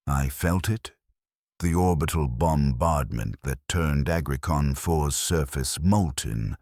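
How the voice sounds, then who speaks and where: An extremely low-voiced man narrates dark science fiction in the first person. His delivery is solemn and deliberate, unhurried, yet it rises and falls noticeably from phrase to phrase. The recording is dry and close. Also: dry recording. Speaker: story narrator. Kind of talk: scripted first-person sci-fi horror narration.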